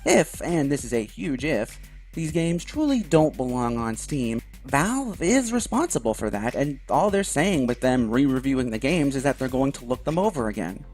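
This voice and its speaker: A man's voice: medium pitch, sing-song, moderate pace, a little mellow. A man giving conversational opinion commentary in an emphatic, wry manner with some sarcasm. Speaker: video commentator. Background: background music.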